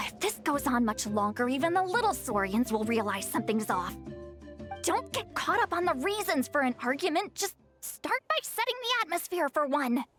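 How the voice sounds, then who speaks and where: High-voiced, lively young game heroine. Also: female voice, moderate pace, soft background music. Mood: flustered. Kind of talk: anime-style game voice acting.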